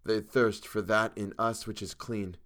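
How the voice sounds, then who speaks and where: A man voicing a terrified, half-mad character in a horror story, dramatic and drawn-out, swinging between gasps and hysterical cries. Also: fairly low pitch, fairly even intonation, fairly bright tone. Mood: haunted, awed.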